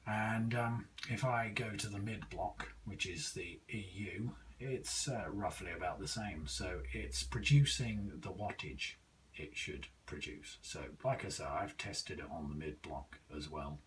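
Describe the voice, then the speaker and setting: A man talks through a hands-on product demonstration in a very low voice. He goes slowly and haltingly, thinking aloud in a casual way. There is faint noise behind him on the handheld camera recording. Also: a bit bright, distant mic, rough recording. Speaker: radio hobbyist reviewer.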